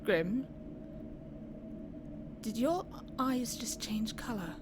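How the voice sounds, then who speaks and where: A woman's voice: fairly high pitch, lively intonation, drawn-out words, background noise. A woman playing a businesslike interrogator who puts formal, pointed questions to a soldier in an audio roleplay. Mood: skeptical. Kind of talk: dramatic audio roleplay acting.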